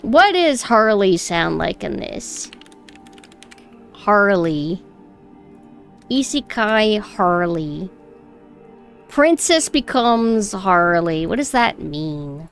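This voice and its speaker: A young woman with a high voice, chatting casually and snarkily on a stream, her close mic clipping on loud peaks. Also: big pitch swings, extremely slow, pauses often, soft background music. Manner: chatty, rambling, mockingly snarky. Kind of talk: casual reaction stream chat. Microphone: close mic that clips loud peaks.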